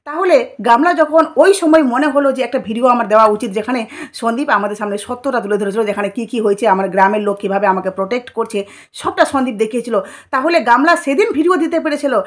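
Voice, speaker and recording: A woman's voice: fairly high pitch, quick pace. A woman giving gossipy, conversational commentary to her viewers, insistently checking in with questions. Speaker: vlogger commenting on online controversy.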